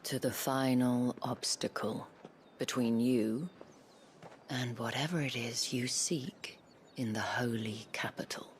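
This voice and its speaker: An actress playing a sly, elegant, teasing madam in a video game, with a sultry, half-whispered, genteel delivery. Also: female voice, very deep voice, steady tone, slow, slight background noise. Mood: measured, knowing. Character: sly, elegant madam of a pleasure house, teasing and self-assured. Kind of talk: video game cutscene acting. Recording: music behind the voice.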